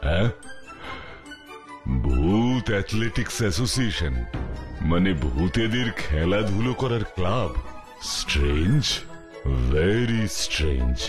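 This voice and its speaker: A man voicing a brave, righteous superhero detective in a children's cartoon, speaking earnestly and firmly. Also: low voice. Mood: puzzled, determined.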